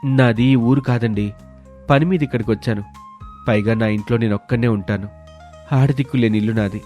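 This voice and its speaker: A man playing a kind, helpful village fruit seller in a moral story, speaking in an earnest, measured way. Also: medium pitch, a little mellow, soft background music, close to an everyday voice. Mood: thoughtful, sincere. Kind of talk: dramatised moral story acting.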